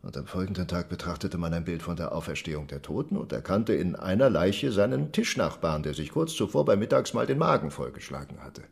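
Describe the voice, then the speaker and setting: An actor playing a reflective Ottoman envoy in a radio drama monologue, telling of travels in an expressive, deliberate, literary way, marvelling yet troubled. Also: low-pitched, creaky, heavily acted. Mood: fascinated, intense.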